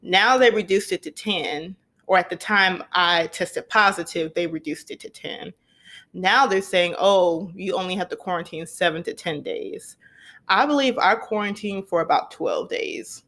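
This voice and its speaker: Young woman podcast host, talks slow and earnest, kinda low voice, repeats stuff for emphasis. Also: animated, a bit bright. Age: young adult. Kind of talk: casual personal storytelling.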